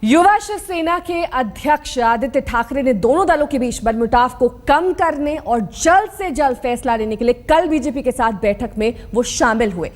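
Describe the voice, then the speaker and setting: A female news anchor reads the bulletin with a high voice. Her delivery is crisp and brisk, in a formal studio style. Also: animated.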